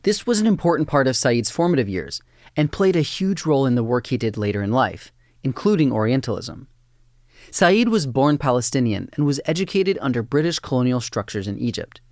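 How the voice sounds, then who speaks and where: A male narrator reads a documentary script in a steady, even voice. The sound is close and dry, with no room around it. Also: medium pitch, no room sound.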